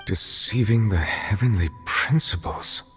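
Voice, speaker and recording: A man acting a grave, formal, commanding authority figure in a video game, speaking in a measured, solemn manner. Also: on the low side, fairly bright tone, faint music behind. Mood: stern, bewildered.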